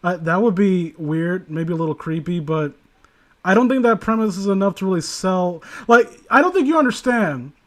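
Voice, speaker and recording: A man's voice: sits high, animated, moderate pace. A man casually reading aloud and commenting, sardonic and prone to profane asides. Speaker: host reading and critiquing internet horror stories.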